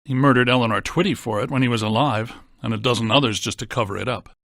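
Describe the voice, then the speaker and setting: Deadpan brainy ghost-hunting scientist man, dry recording. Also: mid-range voice, fast talker, creaky, no echo. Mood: deadpan, analytical. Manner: deadpan, precise technical wording. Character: brainy deadpan male scientist ghost hunter in a video game. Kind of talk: scripted video game voice acting.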